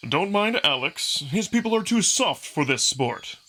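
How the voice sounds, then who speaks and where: A man's voice: high voice, very animated, measured pace, bright, forceful tone, slight background noise, voice pushed up, exaggerated voice. A man voicing a big, playful, cocky wolf-man prince with a teasing, boisterous manner, in a visual novel reading.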